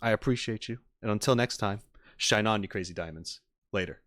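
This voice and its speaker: Animated male streamer with a dry, close sound. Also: on the low side, brisk, no echo. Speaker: streamer and reaction video host. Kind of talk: casual livestream chat with viewers.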